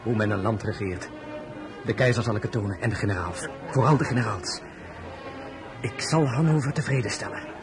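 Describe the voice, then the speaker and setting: An actor in a radio play, playing an earnest, idealistic general and devoted husband. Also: male voice, fairly low pitch, a little fast, pauses often, over music, close to an everyday voice. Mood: resolute, hopeful.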